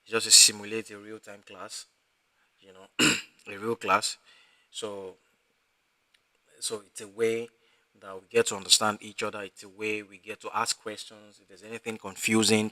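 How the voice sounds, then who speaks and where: A man teaching a live online class, talking in a halting way with many fillers and restarts. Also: fairly low pitch, pushed and bright, no echo, poor audio. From Africa. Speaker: instructor.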